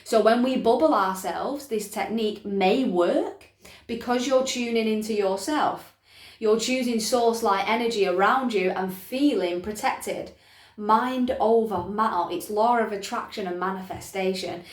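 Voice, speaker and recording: A woman's voice: mid-range voice, a little slow. Woman explaining emphatically in an echoey room. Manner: emphatic, repeats points, often checks in with listeners. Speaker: spiritual teacher presenting a self-help video. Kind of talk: informal explanatory talk to camera. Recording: echoey, roomy sound.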